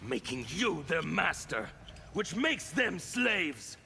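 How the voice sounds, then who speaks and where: A man voicing a fanatical, defiant revolutionary warrior in a video game, with a gravelly, menacing, declamatory delivery. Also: a little high, very animated, slow, bright, forceful tone, slight background noise, exaggerated voice. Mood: fervent, defiant. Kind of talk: video game character dialogue.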